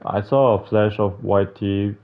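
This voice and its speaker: A man with a lowish voice reads game text aloud at a slow pace, in an informal, slangy way. He sounds like a casual playthrough host, recorded on a dull, lo-fi mic with faint music behind him. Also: steady tone, slight background noise. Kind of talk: reading visual novel text aloud with casual commentary.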